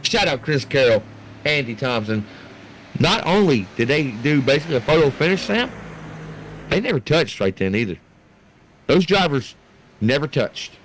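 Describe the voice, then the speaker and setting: A man giving excited, folksy live play-by-play commentary on a sim race, in an animated and colloquial way. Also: medium pitch, moderate pace, pauses often, poor audio. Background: racing game vehicle noise.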